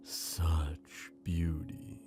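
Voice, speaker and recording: A man voicing a smooth, scheming traitor prince, gloating and seductive, in an intimate fantasy ASMR roleplay. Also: rumbling low, monotone, mellow, soft background music, full character voice. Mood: coaxing, commanding. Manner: slow, intimate, theatrical villain delivery.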